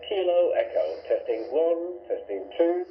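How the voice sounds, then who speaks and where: A man's voice: on the high side, animated, measured pace, vocal fry. A man making a radio test call of callsign and counting, replayed through an amplifier with a radio effect. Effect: radio voice played through a laser link and amplifier. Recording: dull, noisy, echoey recorded radio transmission replayed through amplifier.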